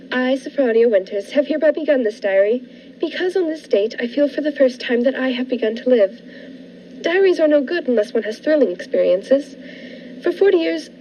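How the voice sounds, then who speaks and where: A woman playing a shy, excitable spinster of forty in a 1940s-style radio drama, earnest and eager. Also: sits high, a little hiss or hum. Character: naive, excitable, shy forty-year-old spinster diarist. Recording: dull lo-fi with music behind.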